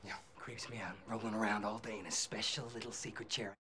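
A man's voice: lowish voice, fairly even intonation, sharp tone. An actor playing a crude, petty male boss in a film scene, blunt and contemptuous. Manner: blunt, contemptuous, rapid-fire.

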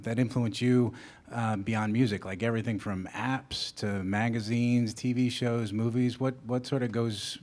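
A man's voice: fairly low pitch, darkish tone. A man asking an interview question, searching for words and rephrasing it with fillers.

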